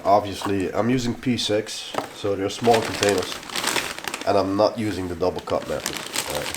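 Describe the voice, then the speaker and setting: A Dutch-accented man, speaking English as a second language, walks through his growing routine in a casual vlog. His voice sits very low, and he talks in a frank, explaining way, stretching out the words he wants to stress. A faint background hum runs underneath. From Netherlands. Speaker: pepper grower and fertilizer maker.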